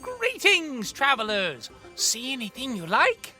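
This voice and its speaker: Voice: wildly swooping pitch, slow pace, faint music behind, exaggerated voice. An actor voicing a cheery gnome shopkeeper with theatrical salesman patter, in an audio fantasy drama. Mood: showy, inviting. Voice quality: high, bright cartoonish voice. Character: cheery gnome shopkeeper hawking magical trinkets.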